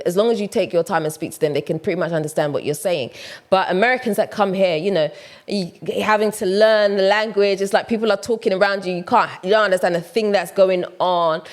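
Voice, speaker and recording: A woman with a British accent and some Ghanaian traces, from Ghana, chatting to camera in a casual vlog and repeating words for emphasis. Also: fairly low pitch. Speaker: vlogger and matchmaker. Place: home in Ghana.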